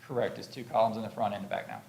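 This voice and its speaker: A man's voice: lowish voice, fairly even intonation, rapid-fire, creaky, a little mellow. An American man, an architect, explaining a project and answering questions at a public hearing. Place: public meeting room. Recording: roomy echo, faint background noise.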